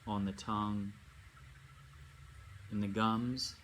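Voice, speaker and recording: A man leading a guided meditation as a yoga nidra teacher, speaking in unhurried phrases with long pauses and gentle instruction. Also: lowish voice, flat delivery. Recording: amateur recording with faint background noise.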